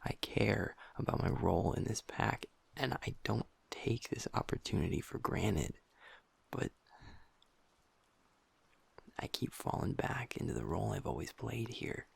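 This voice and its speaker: A man performs a young werewolf who has become his pack's second in command, low-pitched and heavily gravelly. His voice stays breathy and husky, close to a whisper. He swears freely and keeps blaming himself, in the style of an intimate audio roleplay. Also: lively intonation, measured pace, no room sound, heavily acted. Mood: frustrated. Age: young adult. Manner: soft, hushed, swearing, self-critical.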